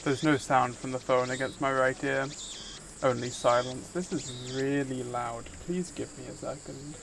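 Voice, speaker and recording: A man's voice: medium pitch, steady tone, measured pace, vocal fry, a bit bright, slight background noise. A man live streaming, reading visual novel narration aloud and chatting casually with viewers in between. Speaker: streamer, VTuber host.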